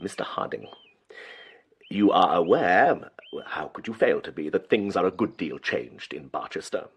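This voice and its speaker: An actor playing an unctuous, condescending, ambitious English church official in a period radio drama, patronising and deliberate in his emphasis. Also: sits low, lively intonation, a bit bright, husky, exaggerated voice. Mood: coldly lecturing. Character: oily, condescending, ambitious church official, coldly reforming villain.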